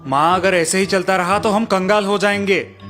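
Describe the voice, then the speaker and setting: A man's voice: high-pitched, fairly even intonation, moderate pace, fairly bright tone. A man voicing an exasperated, sarcastic young married son who complains in a cartoon story. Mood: complaining. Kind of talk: Hindi cartoon story acting. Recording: music bed behind the voice.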